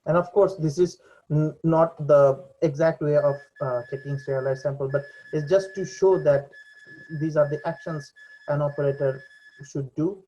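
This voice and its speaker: Young male presenter, relaxed mid-pitched voice, hesitant unscripted walkthrough, dull low-fidelity audio. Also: a little hiss or hum. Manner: hesitant, halting delivery. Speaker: presenter demonstrating a VR training simulation. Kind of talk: unscripted screen demo voice-over. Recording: dull lo-fi computer mic recording.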